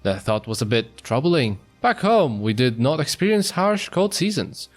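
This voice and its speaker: A man's voice: medium pitch, very animated, normal speed. A man reading visual novel text aloud in a casual let's-play style, breaking off for jokey, mock-apologetic asides. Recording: music behind the voice. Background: music.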